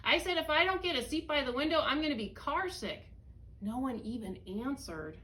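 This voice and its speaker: A woman voicing a grumpy, aggrieved young boy in a picture book, with emphatic complaining and drawn-out refrains. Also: high voice, animated, a bit bright. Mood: indignant. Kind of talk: expressive picture-book read-aloud. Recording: clear room noise.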